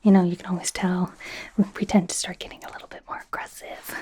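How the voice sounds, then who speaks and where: A woman voicing a nervous, awkward young female werewolf medical student in a halting, breathy, mostly whispered ASMR roleplay. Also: lowish voice, level tone, warm tone. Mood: flustered, earnest. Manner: flustered, halting, apologetic, self-coaching mumbles.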